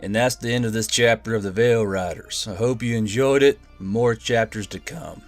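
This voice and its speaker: A man's voice: fairly low pitch, normal speed, faint music behind. A man narrating a story in audiobook style as the host and reader.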